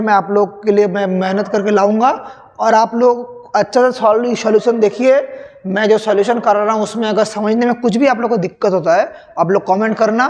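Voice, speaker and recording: A young male physics teacher with a sore, hoarse throat, slowly working through a sample paper and repeating questions to his students. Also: sits high, a little mellow. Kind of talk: solving a sample paper on screen. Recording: long reverb.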